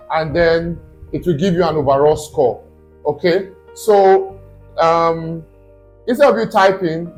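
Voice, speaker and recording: A man, a study abroad consultant, talks his viewers through a screen tutorial. His voice sits on the high side and he keeps stopping to ask "okay?" or "right?". Faint music plays quietly under him. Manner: explaining step by step, checking in with "okay?".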